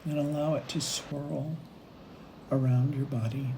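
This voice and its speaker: A woman leading a guided meditation, speaking in unhurried phrases with long pauses between them. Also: extremely low pitch, fairly even intonation, background noise. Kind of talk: guided meditation, gentle imagery narration.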